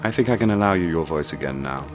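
A sinister male cultist tells his tale in a calm, measured way. His voice sits very low. Loud brooding music runs under every word. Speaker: narrator.

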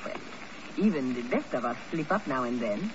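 Through a dull, noisy old radio recording comes a poised, duplicitous female spy. Her phrasing is deliberate and formal, with dramatic swings in pitch. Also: expressive. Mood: menacing. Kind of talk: 1950 radio drama acting.

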